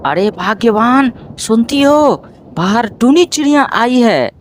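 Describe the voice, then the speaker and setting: A man voicing a hungry, greedy crow husband in a cartoon, whining and scheming in a drawn-out, pleading way. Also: extremely high pitch, very animated, slight background noise, exaggerated voice.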